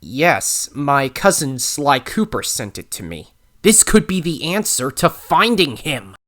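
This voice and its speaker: An actor voicing a plucky young male raccoon adventurer in an audio drama, with an animated, dramatic delivery. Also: mid-range voice, measured pace. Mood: thoughtful. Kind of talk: audio drama narration and acting.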